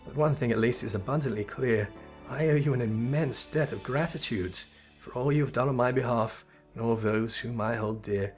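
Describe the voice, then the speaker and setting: A man's voice: mid-range voice, normal speed, darkish tone, lightly acted. An earnest young sultana , voiced by a man, speaks in formal, courtly sentences over music. She is a regal game ruler, gracious and full of remorse, and measures what she says. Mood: earnest, reflective. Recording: music behind the voice.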